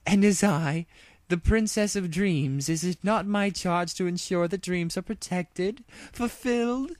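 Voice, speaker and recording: A man's voice: sits high, measured pace, no echo, pitched above the performer's own voice. A man voicing a manic, haughty female pony princess with theatrical, archaic royal speech in a comic story reading. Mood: grandiose, shrill.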